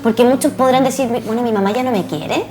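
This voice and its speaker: A woman's voice: a little high, fast, darkish tone, faint music behind. A Chilean woman hosting a conversational studio interview, speaking earnestly and reflectively and posing rhetorical questions. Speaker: TV interview host.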